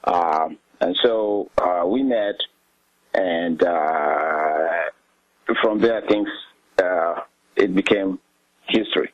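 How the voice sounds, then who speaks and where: Slow, halting South Sudanese man, low voice. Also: no room sound, lo-fi sound. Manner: hesitant, halting, many pauses and ums. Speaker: interview guest. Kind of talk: recounting personal history in an interview.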